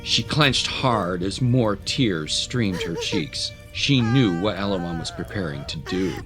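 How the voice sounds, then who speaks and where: A man's voice: low voice, animated, measured pace. A man narrating a scripted audio drama as a dramatic storyteller. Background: music.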